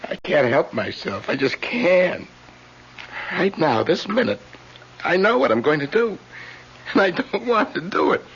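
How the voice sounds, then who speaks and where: An actor playing a tormented, self-pitying killer in an old-time radio mystery, repeating phrases insistently. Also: male voice, high voice, big pitch swings, measured pace, long pauses, fairly bright tone, husky, full character voice. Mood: anguished, desperate. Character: tormented serial killer lodger, self-pitying, bitter and unhinged. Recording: dull lo-fi radio recording with hiss.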